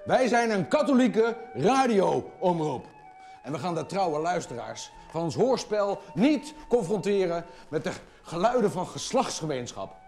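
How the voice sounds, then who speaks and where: Outraged, pompous boss, high-pitched. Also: male voice, big pitch swings, unhurried, darkish tone, faint music behind, heavily acted. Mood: furious. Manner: scolding, pompous, commanding. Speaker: actor. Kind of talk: short film acting.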